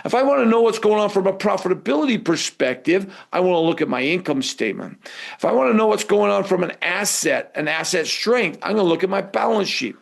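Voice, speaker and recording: A man's voice: high voice, expressive, brisk, gravelly. A man teaching a live webinar in a conversational way, prompting listeners with rhetorical questions. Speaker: webinar instructor.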